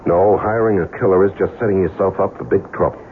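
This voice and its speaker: A man's voice: sits low, fast talker. An American actor playing a shrewd, wary man in a radio drama, speaking in a wry, sceptical way. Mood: sceptical, exasperated. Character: shrewd, wary man being drawn into a murder plot. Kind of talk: 1970s radio drama acting. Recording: tinny, faintly hissy old radio broadcast.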